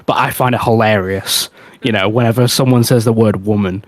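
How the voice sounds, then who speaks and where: A man's voice: on the low side, fairly even intonation, brisk, dry recording. Man chatting, dry close sound. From United Kingdom. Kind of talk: casual livestream chat.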